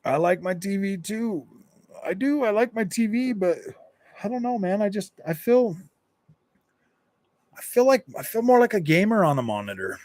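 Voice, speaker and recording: A man in a casual gaming live stream, talking in a fairly high voice and wandering through his thoughts with lots of restarts. The sound is dry and close, with no room around it. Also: very animated, moderate pace, long pauses, darkish tone, dry recording. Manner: rambling, repeats and restarts phrases, laid-back.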